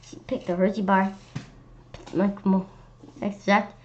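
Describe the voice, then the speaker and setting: A woman's voice: medium pitch, little pitch movement, unhurried, muffled recording, background noise. A woman reading a children's story aloud expressively, often stumbling over and repeating words. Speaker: children's read-aloud host. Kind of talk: animated storybook narration.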